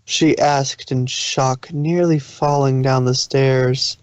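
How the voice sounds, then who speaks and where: A man's voice: medium pitch, steady tone, slow pace, clean tone, no echo. A man narrating a story aloud as a fan fiction reader, occasionally stumbling over the text.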